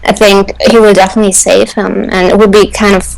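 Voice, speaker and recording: A woman's voice: mid-range voice, flat delivery, fast, vocal fry, slight background noise, low-quality recording. A woman chatting casually as a panelist on a fan radio show.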